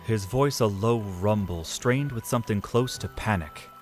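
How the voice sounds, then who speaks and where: A man narrating a scripted fantasy audio drama, solemn and measured, with deliberate pauses. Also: lowish voice, soft background music.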